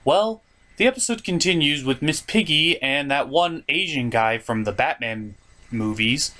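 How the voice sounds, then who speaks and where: A man's voice: medium pitch, a bit bright. A man hosting a comedy review show, delivering mocking, theatrical scripted commentary. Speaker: host of a puppet review show.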